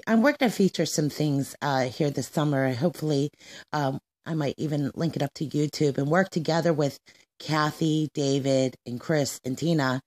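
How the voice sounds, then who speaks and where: A woman presents on radio with a distinctly low voice. Her delivery is informal and hesitant, as she feels for her words. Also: moderate pace, a little mellow. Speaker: radio show host.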